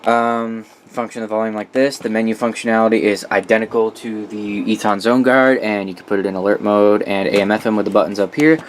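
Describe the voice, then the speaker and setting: Man, lower-pitched, informal hobbyist walkthrough, blunt opinions and swearing, faint noise behind. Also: flat delivery, fairly quick, hardly any pauses. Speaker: radio collector presenting a collection. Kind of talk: casual unscripted product walkthrough.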